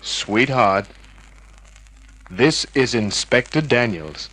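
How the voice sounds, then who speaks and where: An actor playing a cocky, wisecracking hard-boiled private investigator in an old-time radio drama, flippant, slangy and smug. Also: male voice, mid-range voice, expressive, slow, pushed and bright, exaggerated voice, poor audio. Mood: mocking, smug.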